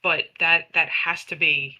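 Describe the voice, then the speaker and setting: A woman playing a tabletop roleplaying game, improvising in character in a halting, earnest way with repeated phrases. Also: sits low, steady tone, bright, forceful tone, dull audio.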